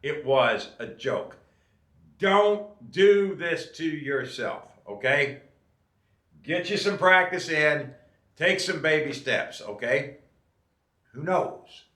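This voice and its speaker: A man's voice: a little high, animated, takes it slowly, pauses often, pushed and bright, echoey room. A man in his late fifties giving casual, folksy advice to viewers, often checking in with "okay?". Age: 50s. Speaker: drummer and channel host.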